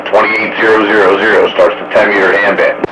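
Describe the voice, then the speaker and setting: Low-voiced man over noisy radio. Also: level tone, a little fast. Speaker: knowledgeable radio hobbyist explaining bands. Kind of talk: casual explanatory radio chat. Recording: noisy two-way radio audio.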